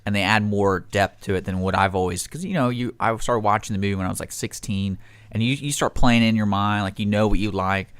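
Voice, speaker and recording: A man's voice: fairly low pitch, steady tone, a little fast, smooth, no room sound. A man hosting a casual live radio show, rambling and often interrupting himself as he tells stories. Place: radio studio.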